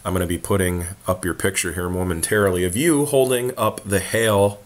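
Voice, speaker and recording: A man hosting a casual sports talk show, talking in a hoarse voice with laid-back, joking banter. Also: deep voice, a little fast. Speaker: podcast host.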